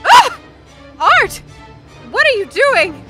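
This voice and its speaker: Lady acting urgent brave companion in a radio play, sharp high voice, very earnest. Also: very animated, slow pace, faint music behind, full character voice. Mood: distraught. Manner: earnest, emotive. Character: Cassandra, brave, urgent female companion to a time traveller. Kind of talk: audio drama acting.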